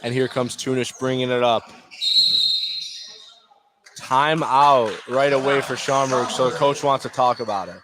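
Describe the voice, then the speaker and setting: A man doing live play-by-play basketball commentary in clipped phrases, with excitable calls on big plays. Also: medium pitch. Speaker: play-by-play sports announcer. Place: courtside at a basketball game.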